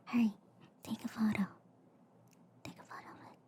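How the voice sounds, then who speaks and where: A woman softly whispering an ASMR roleplay as a reassuring alien surgeon, breathy and hesitant, close to the microphone. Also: normal speed. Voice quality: soft, breathy, mostly whispered. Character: reassuring, slightly inept alien girl surgeon. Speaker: ASMR performer playing an alien doctor.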